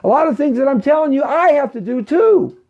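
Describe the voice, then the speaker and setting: A man's voice: very high voice, animated, fairly quick, vocal fry, dull audio. A man in his fifties hosting a live money talk show, preaching and repeating himself, and often checking in with "okay?" and "right?". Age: 50s.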